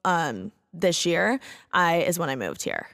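A woman's voice: lowish voice, very animated, gravelly, a bit bright. Chatty young woman, full of "like". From Indiana, USA. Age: 20s. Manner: chatty, says "like" constantly, quick restarts. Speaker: podcast guest. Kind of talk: casual conversation.

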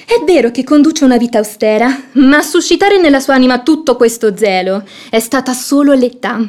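An actress playing a sharp-tongued, outspoken young maidservant in a theatrical radio play, with a sardonic, pointed delivery. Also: female voice, sits high, animated, a little fast. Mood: mocking, sardonic.

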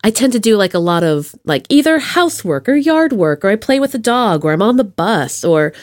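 A woman hosting a podcast in a casual chat, with a playful, slangy and expressive way of talking. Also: mid-range voice, fairly quick, dry recording, studio quality. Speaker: podcast host.

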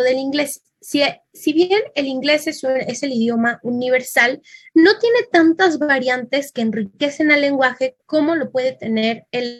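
A woman's voice: sits high, fairly bright tone, dry recording. A Mexican woman giving an informal lecture, talking conversationally and thinking aloud with small fillers.

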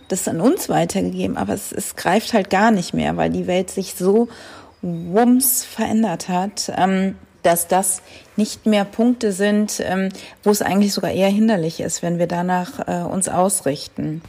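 Slow, gravelly-voiced woman host. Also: mid-range voice. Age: 30s. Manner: frequent fillers, relaxed and chatty. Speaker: podcast host. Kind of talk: casual solo podcast talk.

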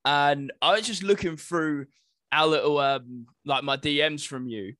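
A man's voice: a little high, unhurried, a bit bright, dry recording. A British man co-hosting a podcast, chatting casually about the news. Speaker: podcast co-host.

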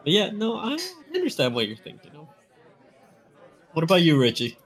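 A young man with a somewhat high voice talking casually on a podcast. He stammers and restarts often, with a giggly, easygoing manner. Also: wildly swooping pitch, moderate pace, some chatter behind. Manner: hesitant, stumbling, giggly. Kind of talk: casual chat.

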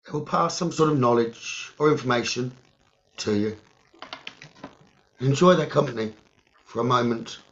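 A man leading a guided meditation in short, halting phrases with long pauses and a creaky voice. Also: medium pitch, a bit bright. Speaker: guided meditation leader, psychic medium. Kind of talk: slow guided meditation narration. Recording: dull, lo-fi sound.

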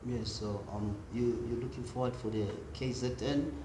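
A man from South Africa, a bassist hosting, asking questions in a halting way full of "uh". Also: fairly even intonation. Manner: halting, many "uh" and restarts. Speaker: host, bassist. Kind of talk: casual interview chat. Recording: roomy sound with music behind.

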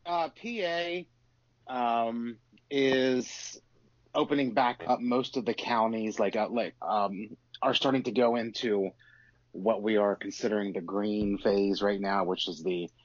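Male American podcast host, lowish voice, relaxed conversation, close dry but dull audio. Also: unhurried, dry recording. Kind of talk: casual chat.

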